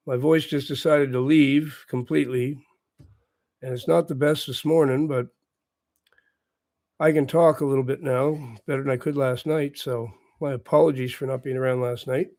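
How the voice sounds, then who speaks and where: Hoarse man hosting a relaxed stream. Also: mid-range voice, measured pace, long pauses, no room sound. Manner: talks quietly, chatty and encouraging. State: hoarse, recovering lost voice. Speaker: livestream host. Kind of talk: casual chat with viewers. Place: at home with morning coffee.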